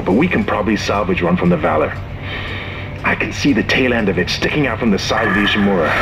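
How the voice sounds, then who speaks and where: A man playing a battered, gruff, resolute spaceship commander in a video game, talking in an urgent, clipped way. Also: on the low side, background noise. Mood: weary, resolute.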